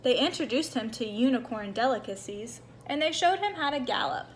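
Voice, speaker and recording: A woman with a high voice reads a children's story aloud. Her delivery is expressive and animated, made for young listeners. There is faint noise behind her. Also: a little fast. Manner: animated, acts out small moments.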